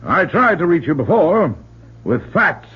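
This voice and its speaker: A zealous reverend in an old radio drama, high-pitched and very husky. He speaks with grave, commanding deliberation and swings widely in pitch. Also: sing-song, measured pace, full character voice. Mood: grave, insistent. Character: stern, zealous reverend confronting a murder suspect. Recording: dull, muffled old radio broadcast.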